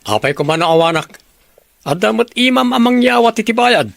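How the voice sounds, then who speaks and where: A man's voice: high-pitched, animated, normal speed, creaky, fairly bright tone, no echo, heavily acted. A male actor playing a blunt, unapologetic man in an audio drama, with a Filipino Ilocano accent. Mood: defiant, scornful.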